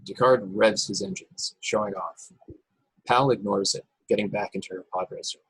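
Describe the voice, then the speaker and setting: A man's voice: on the low side, fairly even intonation, moderate pace, gravelly, fairly bright tone, husky, dry recording, rough recording. A man narrating stage directions from a script at a table read over a video call. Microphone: video call, close and dry.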